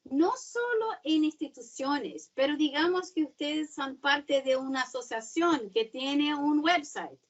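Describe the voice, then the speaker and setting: A woman who is not a native Spanish speaker, giving a seminar talk and often pausing to search for words. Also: high-pitched, animated, distant mic, highs cut off. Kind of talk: webinar talk.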